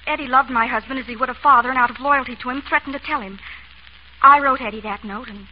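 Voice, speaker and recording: An actress playing an anxious, earnest society wife who pleads formally, in a 1930s radio melodrama. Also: female voice, high voice, fairly even intonation, quick pace, lightly acted. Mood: anxious, pleading. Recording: muffled, hissy 1930s radio recording.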